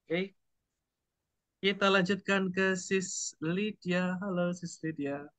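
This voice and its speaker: A man's voice: high voice, fairly even intonation, takes it slowly, a bit bright, dry recording. A man with an Indonesian accent, tutoring an online English speaking class and giving upbeat, encouraging feedback. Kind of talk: online group speaking practice.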